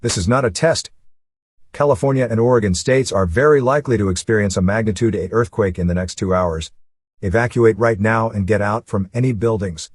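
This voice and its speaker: A man with a lowish, husky voice delivers a scripted emergency alert. He speaks as a broadcast announcer, formal and measured, setting out warnings and instructions.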